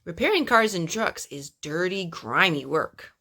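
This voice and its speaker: A woman's voice: on the low side, animated, unhurried, pushed and bright. A woman reading a picture book aloud to her young children, with singsong, coaxing asides to them. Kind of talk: storybook read-aloud with chatty asides to children.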